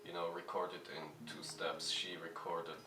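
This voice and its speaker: A man with a very low, deep voice talks haltingly and shyly, stopping often on fillers. He sounds some way off the mic in a roomy space, with music playing clearly behind him. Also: completely flat delivery. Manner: shy, halting, many fillers and restarts. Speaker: musician answering fan questions. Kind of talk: hesitant casual talk to camera. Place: at home. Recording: echoey room, mic at a distance, music playing.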